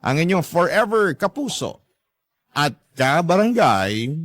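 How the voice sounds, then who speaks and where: A man's voice: a little high, sing-song, slow, a bit bright, no room sound, clean studio sound, heavily acted. A young man with a Filipino accent voicing a forgiving letter sender, narrating his family story politely and sincerely. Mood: grateful. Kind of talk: dramatized first-person narration.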